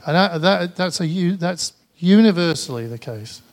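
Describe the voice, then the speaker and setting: Tired Mancunian man, slightly high voice. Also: expressive, normal speed. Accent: Mancunian English. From Manchester, England. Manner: hesitant, restarts often, conversational. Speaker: conference speaker. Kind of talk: presentation with audience Q&A.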